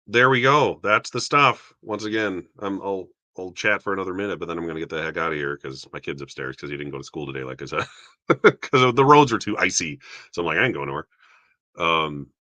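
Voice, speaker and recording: A young man with a deep voice casually rambling about awards results, self-deprecating and chuckling now and then. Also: pushed and bright, no room sound. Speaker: YouTube film awards commentator. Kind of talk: casual solo commentary.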